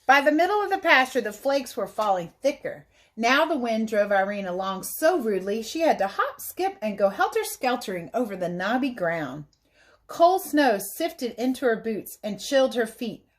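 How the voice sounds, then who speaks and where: A woman reading a story aloud as a teacher, pausing to explain things simply to young listeners. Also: fairly high pitch, sing-song. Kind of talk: read-aloud storytelling with explanatory asides for children.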